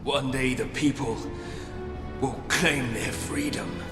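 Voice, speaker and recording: An actor playing a fanatical, scheming military commander in a video game, delivering grandiose, menacing speeches. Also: male voice, medium pitch, fairly even intonation, slow pace. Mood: defeated, bitter. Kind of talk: dramatic video game villain acting. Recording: echoey hall, distant, loud music behind.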